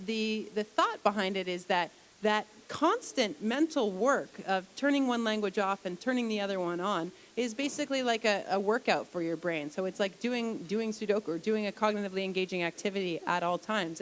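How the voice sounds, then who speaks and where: A woman speaking at a lecture question-and-answer session in a library atrium. She explains things step by step, often repeating a word before going on. Her pitch sits in a middle range. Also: fairly quick, hardly any pauses, a little hiss or hum. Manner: explanatory, with frequent restarts and fillers. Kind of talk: Q&A after a public lecture.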